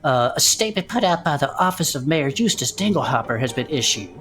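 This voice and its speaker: An actor playing an excitable, folksy amateur investigator in an audio drama, talking in a colloquial, theatrical way. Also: medium pitch, fairly quick. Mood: reading aloud. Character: Bud, excitable folksy podcast sleuth, hobby investigator.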